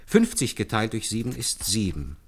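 A man's voice: fairly low pitch, wildly swooping pitch, normal speed, exaggerated voice. An actor playing an earnest, deferential man who patiently explains hand arithmetic step by step in a German radio play. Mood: patient, assured. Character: earnest, deferential male calculator demonstrating hand arithmetic to superiors.

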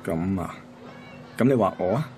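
A man's voice: low voice, expressive, normal speed, darkish tone, faint music behind. An actor playing an easygoing, reflective young man from a radio drama, with a Hong Kong accent. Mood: easygoing, coaxing. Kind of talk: radio drama dialogue and narration.

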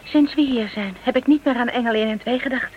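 A woman's voice: a little high, quick pace, phone-quality sound, natural voice. An actress playing an earnest, emotive young woman settling on a new planet in a radio play. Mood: solemn, hopeful. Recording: radio drama with faint noise.

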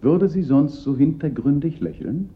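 Actor as a wry, lively-voiced police prefect. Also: male voice, fairly high pitch, moderate pace, lightly acted. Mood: wry, consoling. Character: urbane, wry male former police prefect. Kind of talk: German radio play acting. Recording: thin phone-like band, noisy radio-play recording.

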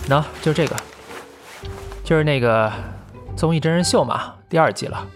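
A man's voice: on the high side, normal speed, soft background music, close to an everyday voice. An actor playing an exasperated but protective male talent manager, nagging and coaxing in an audio drama. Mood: concerned, exasperated. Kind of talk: audio drama acting with background music.

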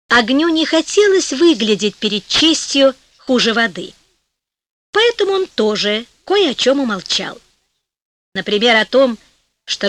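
A woman narrating a fairy tale from a script in an expressive, theatrical, measured storytelling manner. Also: on the high side, dry recording. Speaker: audiobook narrator.

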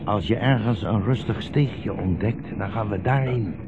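Old blind rabbi, sardonic and weary. Radio play; muffled, noisy vintage sound. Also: male voice, lowish voice, a little slow. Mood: weary, resigned. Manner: ironic, rhetorical. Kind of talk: 1960s radio play acting.

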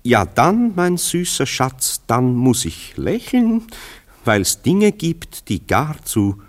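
An actor playing a worldly, teasing friend in a German radio drama, with an ironic, amused and theatrical delivery. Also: male voice, mid-range voice, very animated, slow.

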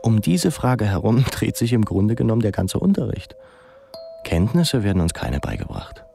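An actor playing a musing, self-mocking young pupil in an intimate, ironic radio drama monologue. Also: male voice, fairly low pitch, sing-song, moderate pace, creaky, dark tone, faint music behind. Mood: wry, confiding.

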